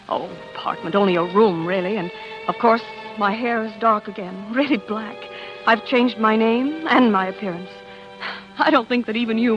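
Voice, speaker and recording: An actress playing an anxious, devoted young wife turned coy amateur sleuth, speaking haltingly in 1940s radio style. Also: female voice, medium pitch, natural voice. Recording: muffled vintage radio recording with music.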